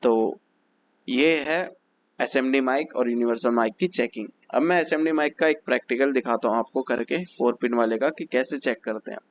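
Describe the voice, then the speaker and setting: A man's voice: medium pitch, normal speed, muffled recording, slight background noise. A man teaching phone repair step by step, methodical and repetitive as he explains each check. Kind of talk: step-by-step technical lesson.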